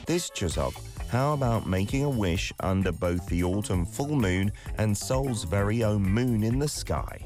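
A man's voice: fairly low pitch, animated, unhurried, music in the background. A man whose first language is Korean, hosting a radio show: he reads entertainment news from a script and chats casually between items with an easygoing, chatty manner. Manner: easygoing, chatty asides with fillers. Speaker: radio host. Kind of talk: scripted news reading and casual on-air chat.

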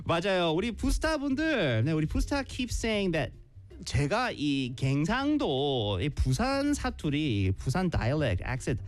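영어와 한국어를 오가며 활기차게 이야기하는 여자 라디오 진행자. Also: female voice, low voice, takes it slowly, soft background music. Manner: switches between English and Korean, lively. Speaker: radio host. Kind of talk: bilingual radio chat.

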